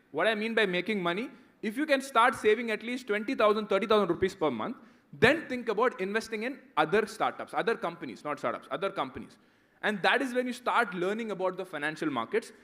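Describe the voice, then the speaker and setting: A man's voice: sits high, fairly bright tone. A man around thirty, a guest speaker answering student questions in a conversational way, often tagging "right?". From India. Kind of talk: motivational talk and Q&A.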